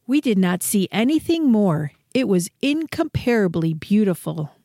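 A woman's voice: mid-range voice, sing-song, moderate pace, mellow, no room sound, clean studio sound. A woman voicing a little sparrow in a storybook, marvelling and proudly knowing, drawing out the words in wonder. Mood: wistful wonder. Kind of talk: dramatic storybook reading.

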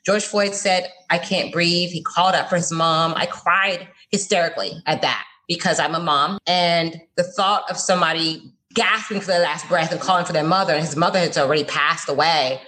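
Woman in her forties, earnest, lively, laughs easily, slightly low voice. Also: moderate pace. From South Florida. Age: 40s. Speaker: guest, college professor. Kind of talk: podcast interview.

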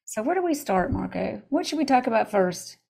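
A woman's voice: fairly high pitch, very animated, brisk, creaky, darkish tone, breathy edge. A woman hosting a podcast interview, asking chatty, enthusiastic questions over a video call. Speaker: podcast host. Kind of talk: casual interview.

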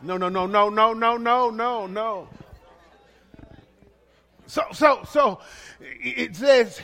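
A man's voice: very high voice, slow pace, vocal fry, sharp tone, long reverb tail. A male pastor preaching a sermon in a playful way, with lots of repetition and call-and-response to the congregation.

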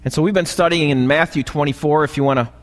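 A male pastor with an American accent and a slightly raspy voice, preaching a sermon and often checking in with short questions to the congregation. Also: on the high side, steady tone, fast. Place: church, preaching live. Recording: live church recording, long hall reverb.

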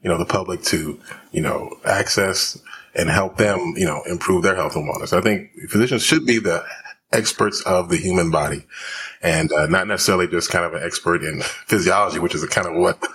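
A man from Houston, Texas, a physician guest talking fast with many fillers in a podcast interview. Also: low voice, fairly bright tone, a little hiss or hum. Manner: rapid, many fillers and restarts.

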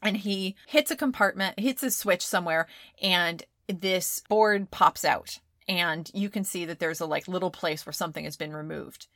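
A woman's voice: on the low side, moderate pace, fairly bright tone, no echo. Woman gm narrating a tabletop game, laughs a lot, dry close sound. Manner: laughs often, calls for rolls. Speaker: game master.

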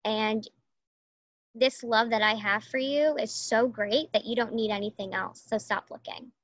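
A woman life coach sharing a personal reflection in a rambling, gentle way. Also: on the high side, steady tone, unhurried, no room sound, highs cut off. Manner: rambling, self-affirming. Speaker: guest speaker, life coach.

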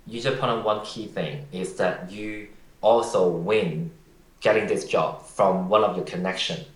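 Low-voiced man in an echoey room. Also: fairly even intonation, a little slow. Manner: direct, advice-giving, loose grammar. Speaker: podcast guest. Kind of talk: casual conversation.

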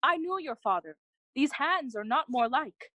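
Loyal young companion to a prince, higher voice, earnest, formal Shakespearean stage speech. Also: female voice, animated, measured pace, sharp tone, dry recording, full character voice. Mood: grave, awed. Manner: earnest, formal, clearly declaimed. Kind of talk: bilingual Shakespearean audio play acting.

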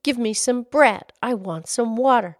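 A woman's voice: fairly high pitch, measured pace, dry recording, clean studio sound, pitched above the performer's own voice, lightly acted. A woman voicing a rude little boy who demands things bluntly. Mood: demanding. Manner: bossy, blunt.

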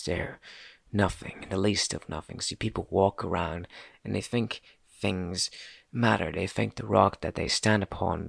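An actor playing an infamous jester in an audio drama, brooding and mocking, with a merry facade over bitter, nihilistic darkness. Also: male voice, creaky, no echo. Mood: indignant. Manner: brooding, mocking, with swings in loudness and pitch. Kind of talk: theatrical dramatic monologue.

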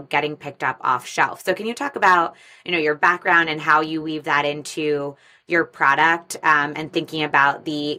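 Low-voiced woman podcast host. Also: sharp tone. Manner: upbeat, rapid scripted intro then conversational questions. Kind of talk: interview podcast.

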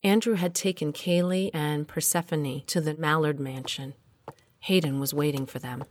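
A woman's voice: deep voice, fairly even intonation, normal speed, lightly acted. An actress playing a wry heroine who narrates her adventure in the first person in an audio drama. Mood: matter-of-fact.

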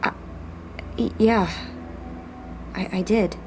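A woman's voice: mid-range voice, fairly even intonation, takes it slowly, a little mellow, soft background music, natural voice. A woman playing a headstrong, skeptical young rebel in a sci-fi radio drama, speaking with insistent emotion. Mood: hurt, shaken.

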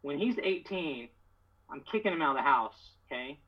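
A middle-aged American man with a husky voice, telling stories as a podcast guest in casual, slangy, emphatic, boastful talk. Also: on the high side, animated, normal speed, dull audio. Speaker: podcast guest, door-to-door solar salesman. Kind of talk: casual, animated storytelling.

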